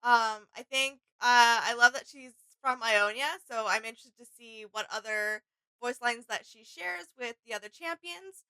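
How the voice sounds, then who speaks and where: A woman's voice: a little high, moderate pace, sharp tone, smooth, low-quality recording. A young woman chatting casually as co-host of a reaction, sounding enthusiastic.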